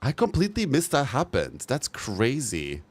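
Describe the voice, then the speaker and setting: A man's voice: mid-range voice, wildly swooping pitch, normal speed, slightly rough. A man streaming live, reacting bluntly to game news and giving opinionated takes. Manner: swears casually. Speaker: livestreamer. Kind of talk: casual live-stream commentary.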